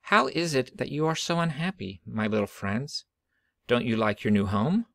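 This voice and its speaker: Man voicing a consoling, gravelly creator spirit. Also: mid-range voice, expressive, moderate pace, a bit bright, dry recording, clean studio sound. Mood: concerned. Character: kindly male Great Spirit, consoling creator.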